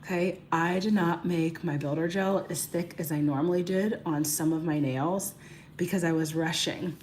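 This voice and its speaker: A woman with a hoarse, raspy voice sits fairly low in pitch, sounding like she is losing it. She chats casually through a nail tutorial, greeting viewers by name as she works. A faint hiss of noise runs underneath. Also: steady tone, normal speed, darkish tone. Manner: chatty, greets viewers by name mid-task. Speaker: beauty livestream host.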